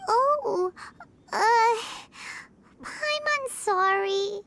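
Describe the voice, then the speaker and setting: A woman voicing a tiny, chirpy, childlike fairy companion in a video game, squeaky and excitable. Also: extremely slow, heavily acted. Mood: sad, apologetic. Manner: excitable, speaks of herself in third person. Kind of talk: video game character acting. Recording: loud music behind.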